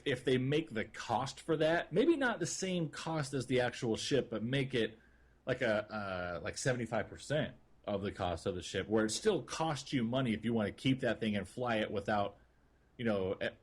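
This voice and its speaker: A man speaks in a husky, mid-range voice as a podcast co-host. His delivery is casual and conversational, rambling a little as he rewords his points. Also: medium pitch, lively intonation, moderate pace. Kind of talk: casual podcast chat about gaming.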